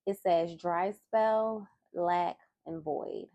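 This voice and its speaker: Woman tarot reader, soft and low, slow gravelly delivery, dry close sound. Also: no echo, lo-fi sound. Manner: soft-spoken, slow and musing. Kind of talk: soft-spoken tarot reading. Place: indoors at a table.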